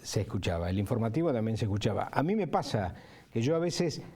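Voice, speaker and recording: A man's voice: fairly low pitch, very animated, fast talker, a little mellow, slightly rough. A man with an Argentine accent reminisces reflectively in an interview, often ending phrases with a tag question.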